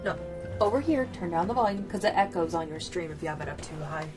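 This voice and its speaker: A woman's voice: fairly low pitch, lively intonation, fast talker. A woman co-hosting a game livestream, chatting casually. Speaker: livestream co-host. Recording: music behind the voice. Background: game music.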